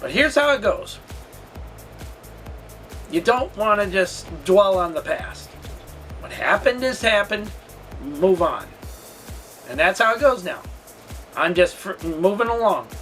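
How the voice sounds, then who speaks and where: A man telling a personal story as a vlogger, casual and candid, talking in a halting, rambling way. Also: high voice, expressive, fairly bright tone, soft background music. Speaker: storytelling vlogger and channel host.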